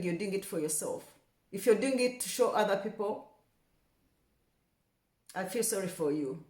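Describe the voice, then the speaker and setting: Young woman from Rwanda, casual vlog delivery, repeats words for emphasis, mid-pitched, echoey room sound. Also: darkish tone. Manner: chatty, repeats words for emphasis, grows passionate. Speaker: vlogger. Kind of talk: casual talk to camera.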